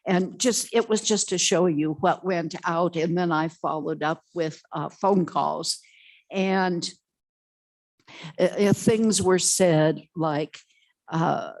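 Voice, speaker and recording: A woman with a low, loud voice gives testimony at a committee hearing, speaking slowly and stopping often on fillers. She talks into a table microphone in a legislative hearing room. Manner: slow, halting, with many fillers. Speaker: health care association representative testifying.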